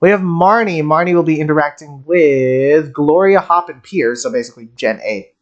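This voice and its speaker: A man streaming casual game commentary, excitable and jokey, rambling as he reacts. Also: on the high side, moderate pace, muffled recording.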